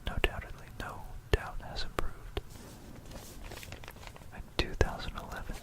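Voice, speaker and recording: An ASMR presenter narrating history in a whisper, musing aloud between passages, close to a stereo microphone. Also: a little slow. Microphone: close stereo binaural microphone.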